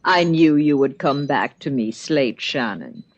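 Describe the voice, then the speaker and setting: An actress playing a proud, seductive femme fatale in a 1950s radio drama, with formal, theatrical, deliberate phrasing. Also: female voice, rumbling low, expressive. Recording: muffled old radio recording.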